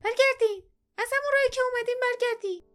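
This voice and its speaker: A fierce old woman from an audiobook fantasy tale. She is suspicious and scolding, and her voice sits very high and forceful as she guards what is hers. Music plays faintly behind her. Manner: scolding, threatening, colloquial speech. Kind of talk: dramatized audiobook character voice.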